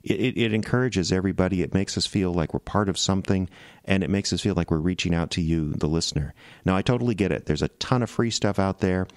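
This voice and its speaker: An American man with a low voice addresses listeners as a podcast producer. He is conversational and earnest, feeling his way through his phrases. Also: fast, no echo, clean studio sound. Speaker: podcast producer making an appeal. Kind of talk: casual direct address to listeners.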